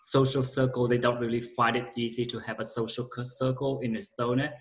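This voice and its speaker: A man from Vietnam answering interview questions in plain, halting sentences. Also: medium pitch, flat delivery. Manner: plain, searching for words, second-language phrasing. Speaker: interviewee, working in Tallinn after a doctorate.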